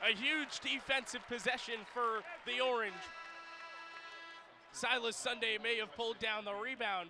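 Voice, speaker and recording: High-pitched man calling radio basketball. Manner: clipped, descriptive phrases, punching the big plays. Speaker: basketball play-by-play announcer. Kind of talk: radio sports play-by-play commentary. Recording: noisy arena with bouncing basketballs.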